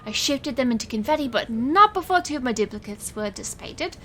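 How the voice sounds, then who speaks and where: A bright, defiant magician heroine. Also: female voice, sits high, animated, a little fast, a little hiss or hum. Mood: tense narration. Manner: sarcastic, theatrical. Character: defiant, witty young female stage magician heroine. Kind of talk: audio drama acting and first-person narration.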